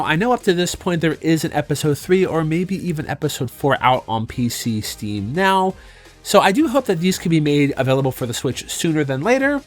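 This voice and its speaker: A man narrating a video game review in a conversational, emphatic way, as a channel host. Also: fairly high pitch. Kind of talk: semi-scripted review narration. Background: background music.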